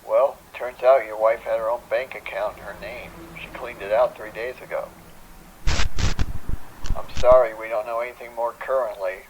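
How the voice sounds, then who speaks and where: Measured police officer on speakerphone. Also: male voice, medium pitch, fairly even intonation, highs cut off, a little hiss or hum. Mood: official, regretful. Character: matter-of-fact male police officer phoning with bad news. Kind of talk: audio drama acting.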